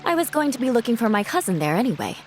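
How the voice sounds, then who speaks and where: A woman's voice: fairly high pitch, very animated, very fast. A woman voicing a cool, confident young swordmaster knight in a video game, composed and wry. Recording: music behind the voice.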